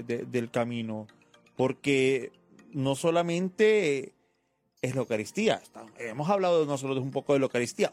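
A man's voice: fairly high pitch, darkish tone. A man hosting a conversational interview about religion, putting questions and adding comments. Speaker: interviewer, host. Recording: music under the talk.

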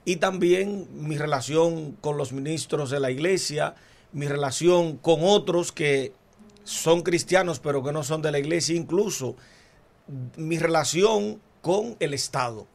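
A male priest calmly explaining church law as a guest on a talk show, step by step. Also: a little high, a little slow, vocal fry.

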